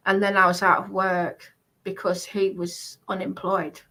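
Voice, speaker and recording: A woman's voice: mid-range voice, flat delivery, measured pace, bright, forceful tone. A woman chatting casually on a live stream, talking in a defensive, insistent way.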